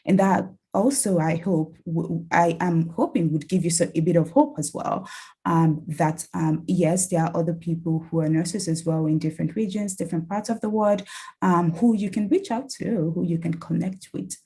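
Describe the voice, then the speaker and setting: A young woman from Nigeria, a nurse researcher speaking with a soft Nigerian-tinged accent, giving an online talk with many ums and restarts in an earnest, encouraging way. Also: on the low side, moderate pace, slightly rough. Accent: Nigerian-tinged English. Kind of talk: online academic talk with slides.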